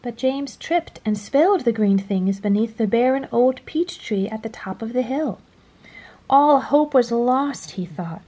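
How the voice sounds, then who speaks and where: A woman reading a children's story aloud in a dramatic storytelling style, dropping to a hush at phrase endings. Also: a little high, expressive, unhurried. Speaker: storybook reader. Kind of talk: expressive children's read-aloud narration.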